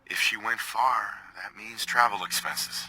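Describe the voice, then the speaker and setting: An actor playing a detective's sardonic partner in a video game, blunt and flippant. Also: male voice, on the low side, steady tone, measured pace, background noise, close to an everyday voice. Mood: hard, bargaining. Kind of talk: video game protagonist dialogue.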